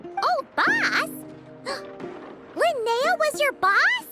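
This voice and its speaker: Squeaky, tiny, curious fantasy companion. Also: female voice, sing-song, extremely slow, full character voice. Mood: astonished. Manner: inquisitive, exclaiming. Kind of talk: cartoonish video game voice acting. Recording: prominent music behind the voice.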